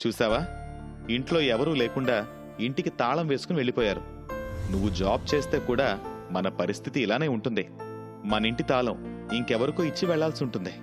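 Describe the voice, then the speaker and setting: నటుడు పోషించే వాదించి నచ్చజెప్పే మధ్యస్థ స్వరపు భర్త. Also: male voice, mid-range voice, brisk, pauses often, in a large hall, over music, lightly acted. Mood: exasperated. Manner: reasoning, dismissive. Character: practical, reluctant husband and family breadwinner. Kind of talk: moral story dialogue acting.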